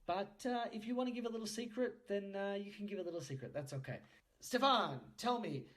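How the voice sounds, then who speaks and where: A man's voice: sits high, fairly quick, a bit bright. A man hosting a podcast, interviewing in a casual, jokey, bantering way with a readiness to chuckle. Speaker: podcast host.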